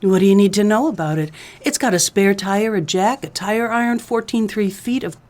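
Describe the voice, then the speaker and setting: A woman's voice: mid-range voice, moderate pace, a little mellow, natural voice. A woman playing a coy, scheming femme fatale widow in a comedic noir radio play, sweet-talking with pet names. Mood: coy, flirtatious.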